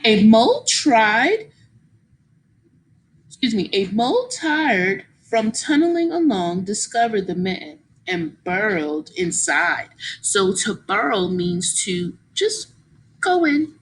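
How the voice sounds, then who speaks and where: A woman reading a children's story aloud in an expressive, encouraging teacher's manner, pausing to explain and ask her young listeners questions. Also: medium pitch, a little hiss or hum, rough recording.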